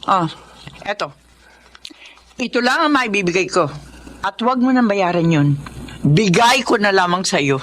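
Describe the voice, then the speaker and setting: Babaeng gumaganap bilang mabait na ate, katamtamang boses. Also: female voice, medium pitch, expressive, moderate pace, pauses often, a bit bright, close to an everyday voice. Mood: reluctant, kind. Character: reluctant but kind woman giving small charity. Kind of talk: Tagalog radio drama acting. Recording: slight background noise.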